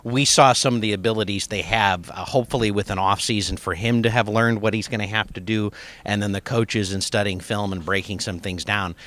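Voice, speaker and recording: A man's voice: fairly low pitch, steady tone, brisk, fairly bright tone. A man hosting a conversational sports interview, asking long, rambling questions. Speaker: sports information director hosting a preview chat.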